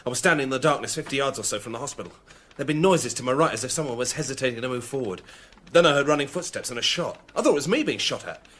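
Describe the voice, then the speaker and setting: An actor playing an earnest, shaken police sergeant with a British accent, reporting plainly and urgently to the chief in a radio drama. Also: male voice, medium pitch, expressive, rapid-fire, hardly any pauses, a bit bright.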